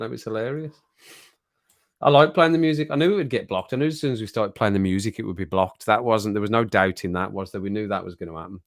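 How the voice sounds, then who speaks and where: A man hosting a casual live stream chat, rambling and laughing often, his voice a little croaky after a cold. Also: on the low side, a bit bright, dry recording, studio quality.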